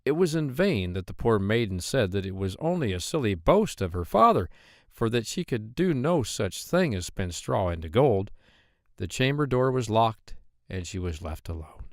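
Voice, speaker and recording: A man narrating a children's fairy tale, with expressive, measured storytelling. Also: fairly low pitch, no echo, clean studio sound. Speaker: audiobook narrator.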